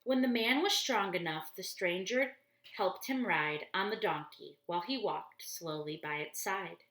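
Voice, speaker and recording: Lady reading a kids storybook nice and slow, explains stuff simply, echoey room. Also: mid-range voice, a bit bright. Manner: deliberate, explains things simply. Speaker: storybook reader for church children. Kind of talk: expressive children's read-aloud with simple asides. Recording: roomy, echoey indoor sound.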